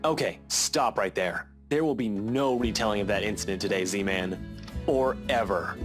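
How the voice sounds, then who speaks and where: A man's voice: fairly low pitch, normal speed, lightly acted. An actor playing a stern, protective young man in a visual novel, with a blunt, dry delivery. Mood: exasperated banter. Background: background music.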